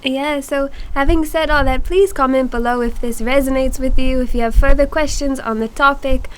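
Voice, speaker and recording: A woman's voice: sits high, steady tone, moderate pace, clean tone. A woman co-hosting a casual coaching chat, affirming and talking about working with clients, with many "you know"s. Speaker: manifestation coach, co-host.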